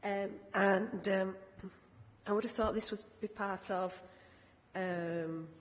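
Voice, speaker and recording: A woman with a croaky voice, speaking formally and haltingly as a councillor in a committee meeting. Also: mid-range voice, fairly even intonation. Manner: hesitant, halting. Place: large indoor council meeting room. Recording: echoey hall with background noise.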